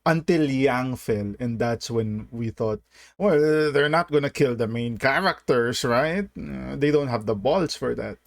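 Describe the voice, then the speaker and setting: A man's voice: mid-range voice, a little slow, gravelly, dry recording. A man giving casual reaction commentary as host, rambling and thinking aloud, often checking with a tag question.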